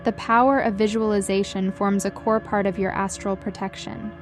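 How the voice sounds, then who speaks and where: Soothing lady doing guided meditation voiceover, mid pitched, slow careful delivery, music playing behind her. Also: flat delivery. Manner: gentle, soothing, measured delivery. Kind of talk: scripted guided meditation narration. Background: background music.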